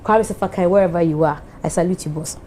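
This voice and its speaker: A woman's voice: lowish voice, fast talker, mellow, a little hiss or hum. A Ghanaian woman hosting a TV show, giving an emphatic, heartfelt speech that mixes English and Twi.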